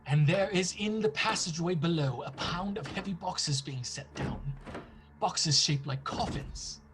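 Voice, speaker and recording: An actor playing a fearful, desperate young English gentleman in a radio play, with a dramatic delivery. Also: a little high, unhurried, background noise, close to an everyday voice. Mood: dread. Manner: dramatic, fearful diary narration. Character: anxious, desperate young English solicitor imprisoned in castle.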